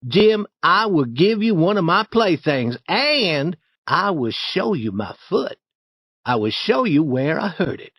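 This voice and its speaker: A crafty young boy talks up his chore with coaxing, boastful charm, as a male storyteller puts him on. The voice sits far higher than the reader's own and swings lively up and down. The recording is dry and slightly dull. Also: takes it slowly, husky, dry recording, full character voice. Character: clever young boy painting a fence.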